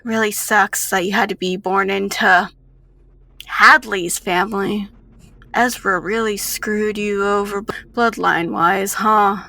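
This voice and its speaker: Casting: woman as tabletop-game villainess, a scientist; sharp-edged voice, mock-sweet, cruel, condescending. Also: medium pitch, steady tone, vocal fry, no room sound. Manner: condescending, drawn-out taunts.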